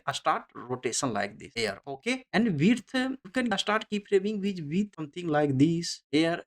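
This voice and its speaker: A tutorial instructor with a mid-pitched voice talks through software steps off the cuff. The phrasing is non-native English, halting and often repeated, as each step is explained in turn. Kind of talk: unscripted screen-recorded step-by-step tutorial narration.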